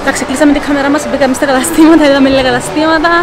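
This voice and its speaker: A woman's voice: high voice, over music. A young woman with a Cypriot Greek accent, chatting casually as a vlog host while walking through a shopping mall. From Cyprus. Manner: lively, chatty, quick to laugh and correct herself. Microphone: handheld camera at arm's length.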